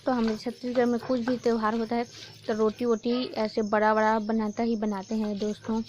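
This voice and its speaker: A young woman from Chhattisgarh, hoarse from a sore throat, chatting casually to her viewers in a home vlog. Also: on the high side, little pitch movement, a little fast, darkish tone, slight background noise. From Chhattisgarh, India. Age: young adult. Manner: chatty, keeps addressing viewers as friends. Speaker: vlogger. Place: village home during a festival.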